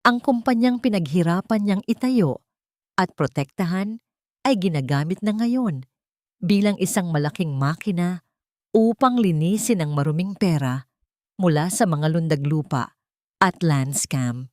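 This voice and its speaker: A woman narrates a dramatic audio story. Her voice sits on the low side for a woman, and she reads from a script as a storyteller. The recording is very dry, with no room sound. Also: lively intonation, unhurried, a little mellow, no echo.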